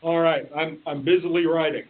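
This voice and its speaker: A man's voice: a little high, fairly even intonation, brisk. A man talking conversationally as a participant in a conference call meeting. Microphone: telephone. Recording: tinny conference phone line.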